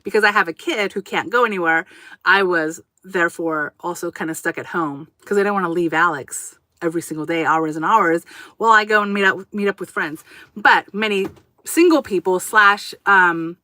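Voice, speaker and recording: A woman's voice: fairly low pitch, normal speed, pushed and bright, dry recording. A woman whose first language was Japanese, hosting a casual livestream from inside her RV, talking in a rambling, self-correcting way and reading out viewer comments. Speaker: livestream host. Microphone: camera on a tripod.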